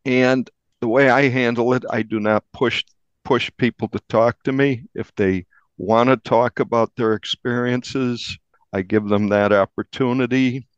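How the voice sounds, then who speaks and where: A man who works as a newspaper reporter, recounting in a measured way during an interview and repeating words for emphasis. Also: mid-range voice, fairly even intonation, clean tone, dry recording, dull audio.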